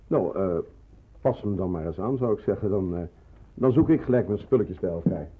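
An actor playing a brisk, fatherly resistance man who gives kind but firm orders in a radio drama, on an old recording. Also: low-pitched, expressive, slightly rough, narrow, tinny audio. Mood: hearty. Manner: brisk, instructing, reassuring.

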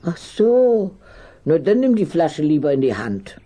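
A woman's voice: deep voice, very animated, measured pace, very noisy. An actress playing a motherly, sensible household woman who fusses over children in a radio play. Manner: kindly scolding, fussing over children.

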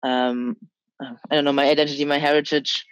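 A woman's voice: sits low, flat delivery, moderate pace, no room sound, poor audio. A young woman from Germany talking about her identity as an interview guest, hesitant with many restarts and fillers. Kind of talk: casual interview talk.

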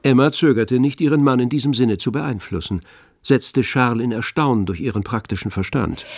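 Measured male narrator of a literary radio drama. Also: mid-range voice, vocal fry, a little mellow.

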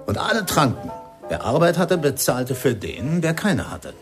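A man playing a lively jazz musician in a radio play, telling of a bar with animated delivery. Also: a little high, normal speed, faint music behind.

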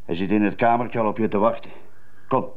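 A male actor plays a curt prison guard in a radio drama. His voice is low and businesslike, on a dull, muffled recording. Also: fairly quick, lightly acted.

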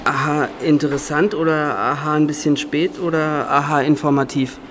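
A man playing an awkward young would-be art student in a radio drama, earnest, halting and stammering. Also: on the high side, fairly even intonation, a bit bright, a little hiss or hum, natural voice. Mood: flustered. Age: young adult. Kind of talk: naturalistic radio drama dialogue.

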